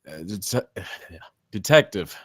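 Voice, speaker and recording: A man's voice: lowish voice, very animated, slow, fairly bright tone, dry recording, clean studio sound, heavily acted. A man acting a shaken, guarded survivor in a film script read, speaking tersely and hesitantly. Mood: earnest, insistent. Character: Damien, shaken, guarded male survivor of an attack.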